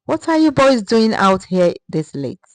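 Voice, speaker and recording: A woman's voice: a little high, very animated, a little slow, no room sound, voice pushed up, low-quality recording. A woman narrator voicing a suspicious male police officer in a storybook tale, curt and demanding.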